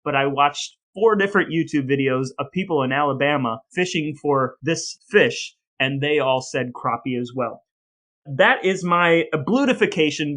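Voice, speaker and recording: A man's voice: mid-range voice, a bit bright. A man hosting a podcast with playful, mock-formal wordiness, moving between scripted lines and casual banter. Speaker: podcast host.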